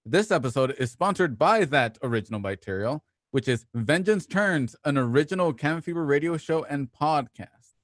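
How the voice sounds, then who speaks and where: A man's voice: on the high side, animated, moderate pace, no room sound, clean studio sound. A man hosting a casual live show, talkative and playful, reading promos and dropping in rambling asides. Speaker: host.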